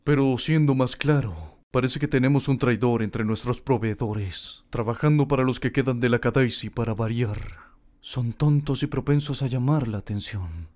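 A man voicing a cold, fastidious hitman cat in a cartoon fan dub, formal, precise and deadpan, with a Latin American accent. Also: mid-range voice, lightly acted. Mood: cold, controlled. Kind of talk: animated fan dub acting.

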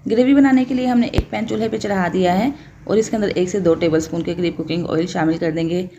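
A woman's voice: on the low side, fairly even intonation, fast, dark tone, dull audio, a little hiss or hum. A woman with a Pakistani Urdu accent, hosting a cooking channel and patiently explaining each step of a recipe and its benefits in a voice-over. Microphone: voice-over over hands-only cooking footage.

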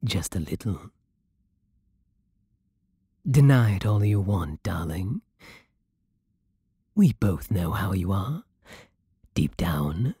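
A man acts out a seductive British vampire king in an ASMR roleplay. He speaks slowly and imperiously in a very breathy, husky voice with a lively, swooping melody. The delivery is formal, possessive and teasing, close to the microphone. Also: low voice, no echo, heavily acted. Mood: hushed, teasing. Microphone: close, dry microphone.

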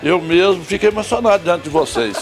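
A man's voice: sits high, expressive, brisk, music in the background. A man, a mayor, speaking heartfelt thanks in an interview.

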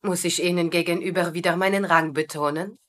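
A woman's voice: fairly low pitch, fairly even intonation, a little fast, fairly bright tone, natural voice. An actress playing a proud, determined woman scientist and agent, in a dubbed TV show. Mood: urgent, insistent. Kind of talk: dubbed TV acting.

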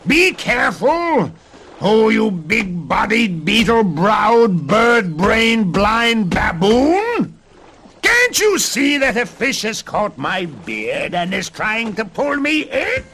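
A man's voice: high voice, big pitch swings, slow, sharp tone, slightly rough, pitched above the performer's own voice, heavily acted. A man voicing a tiny, bad-tempered old dwarf in a storybook tale, sputtering and screeching out insults. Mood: screeching, indignant. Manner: sputtering, screeching, alliterative ranting.

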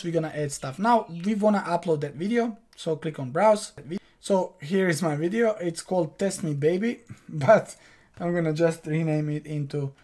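A man's voice: fairly high pitch, warm tone. A young man from Croatia with a Croatian accent, walking through a screen-share tutorial in a casual, filler-heavy way from his home office. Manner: casual, many fillers and restarts. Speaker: online course instructor.

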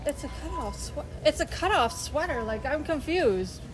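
A woman's voice: high voice, lively intonation, normal speed, background noise. An American woman speaking casually as a shopper in a store. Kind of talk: casual spoken exchange. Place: inside a large store.

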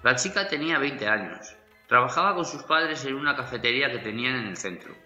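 A man's voice: mid-range voice, animated, fairly quick, pushed and bright, reverberant, lo-fi sound, soft background music. A man playing a dutiful, matter-of-fact police officer in a dramatised crime audiobook.

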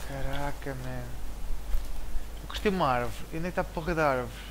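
A young man with a European Portuguese accent, commentating a survival game in a headset, excitable and swearing a lot. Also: mid-range voice, a little fast, noisy background. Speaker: gaming streamer. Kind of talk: casual let's-play commentary.

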